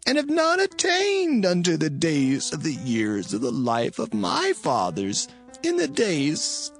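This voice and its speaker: Very slow, solemn old man, acted by a man. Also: on the high side, huge pitch swings, exaggerated voice. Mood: solemn, weary. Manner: drawn-out, solemn delivery. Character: ancient patriarch Jacob, solemn and weary old man. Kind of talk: dramatized scripture reading. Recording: music behind. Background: music.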